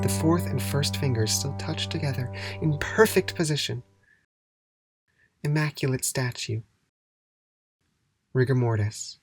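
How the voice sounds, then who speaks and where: A performer playing an intense, eerie narrator in a scripted horror audio drama, speaking dramatically and deliberately to the listener as "you". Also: mid-range voice, close to an everyday voice. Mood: cold, quiet. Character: intense, eerie second-person narrator of a dancer's unraveling. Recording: loud music over the voice. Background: loud piano music.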